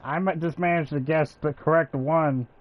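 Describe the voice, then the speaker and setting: A man's voice: on the high side, steady tone, normal speed. A man doing casual livestream commentary while he plays a video game. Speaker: gaming streamer. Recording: dull, slightly lo-fi mic.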